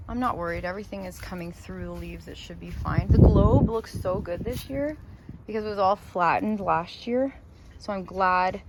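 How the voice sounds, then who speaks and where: A Canadian woman from Ontario giving a rambling, chatty walkthrough of her garden, gushing over her plants. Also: medium pitch. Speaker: gardening vlogger. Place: outdoors in a home garden. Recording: outdoor noise behind voice.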